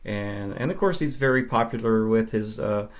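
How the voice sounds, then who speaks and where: A man with a fairly low voice talks as a guest on a podcast. He explains things conversationally, in measured phrases broken by pauses. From Nova Scotia, Canada. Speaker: podcast guest, film fan. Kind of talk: conversational interview answers.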